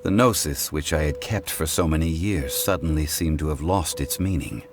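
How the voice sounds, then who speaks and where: Deep, dignified ancient god. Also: male voice, music in the background. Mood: measured, explaining. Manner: formal, measured, solemn. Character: ancient male god in mortal form, composed and dignified. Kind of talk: video game character acting.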